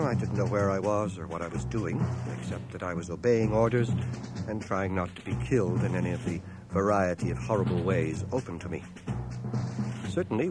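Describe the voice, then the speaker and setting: An actor playing a war veteran who soberly recounts his battle memories in measured, articulate, literary storytelling for a radio drama. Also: low-pitched. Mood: sober, reflective. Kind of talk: dramatized first-person narration, radio drama. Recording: noisy cassette of 1980 radio drama.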